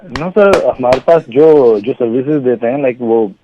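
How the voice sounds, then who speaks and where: A man's voice: medium pitch, a little slow, a little hiss or hum. A man working in customer service, answering a phone call in a polite, obliging, deferential way. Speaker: restaurant or hotel phone staff. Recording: dull phone line.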